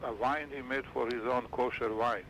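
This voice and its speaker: A man in his seventies from Romania, recalling his childhood in an interview and often pausing to search for words. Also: medium pitch, steady tone, a bit bright, slight background noise, rough recording. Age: 70s. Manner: halting, restarts and searches for words.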